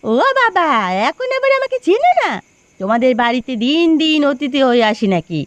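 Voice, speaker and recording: Cartoon role: village family woman voiced by a woman, lively intonation, high register, expressive, easily affronted. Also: normal speed, no echo. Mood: offended. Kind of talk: cartoon voice acting.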